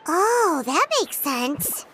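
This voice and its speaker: A woman voicing a tiny, naive, childlike fairy companion in an anime game, squeaky and exclaiming. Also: sing-song, very slow, pushed and bright, faint music behind, heavily acted. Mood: curious, chirpy. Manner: refers to self in third person, exclaiming.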